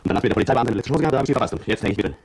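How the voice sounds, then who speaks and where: An actor playing an intimidated petrol station attendant and bitter ex-soldier, confessing in a brooding radio drama monologue with a husky voice. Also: male voice, medium pitch, expressive, rapid-fire, a little mellow, no room sound. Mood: resigned, self-reproachful. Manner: brooding, confessional first-person narration.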